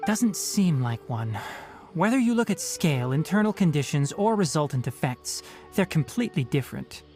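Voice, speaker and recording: A curt young forest ranger. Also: male voice, fairly high pitch, very animated, normal speed. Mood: serious. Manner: curt, decisive, impatient. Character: brisk, sharp-tongued young forest ranger. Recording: game audio with background music.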